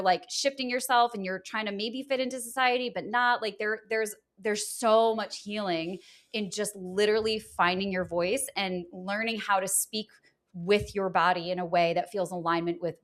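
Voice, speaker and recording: A woman's voice: medium pitch, fairly even intonation, normal speed. A woman from Atlanta, Georgia, talking frankly and expressively as a guest on a podcast, often laughing. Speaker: guest, producer. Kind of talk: candid podcast conversation.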